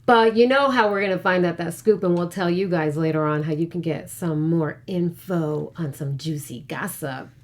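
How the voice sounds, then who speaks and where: A woman's voice: on the low side, normal speed, hardly any pauses. A woman chatting casually and agreeably as a panelist on a recap show. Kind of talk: casual chat.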